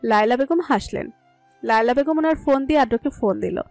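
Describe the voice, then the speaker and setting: A woman's voice: on the high side, a little fast, gravelly, a little mellow, no room sound. A woman narrating a scripted romantic story. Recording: music under the voice. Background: music.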